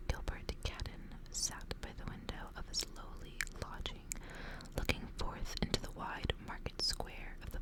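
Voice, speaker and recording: A woman speaking entirely in a soft, breathy whisper, unhurried and intimate, as an ASMR host who chats and reads aloud. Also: poor audio. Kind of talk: whispered ASMR reading and casual chat.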